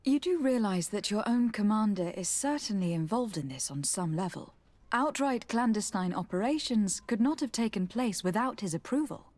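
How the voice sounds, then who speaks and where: Woman as composed noble envoy, lively intonation. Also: medium pitch, moderate pace, close to an everyday voice. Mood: serious. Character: composed, caring young noblewoman envoy, tactful and earnest. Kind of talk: video game dialogue acting.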